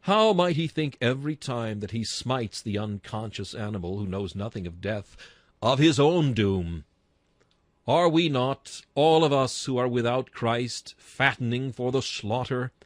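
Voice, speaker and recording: A man delivers an archaic sermon as a dramatic reading. His voice sits at a medium pitch, and his manner is solemn and exhortatory, full of rhetorical weight. The sound is dry and free of room echo. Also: lively intonation, a little slow. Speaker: narrator reading a historical sermon. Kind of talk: dramatic read-aloud sermon in archaic English.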